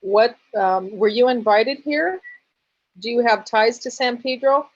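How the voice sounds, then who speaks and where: A woman's voice: a little high, unhurried, no room sound, lo-fi sound. Hesitant, polite woman on a call. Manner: hesitant, polite, many ums. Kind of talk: questions at an online town hall.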